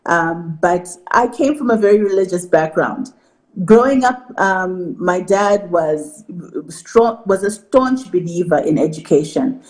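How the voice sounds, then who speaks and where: A woman's voice: lowish voice, expressive, darkish tone, poor audio. Articulate woman from Zimbabwe. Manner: articulate, measured, with restarts. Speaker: guest, marketing executive. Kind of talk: TV interview answers.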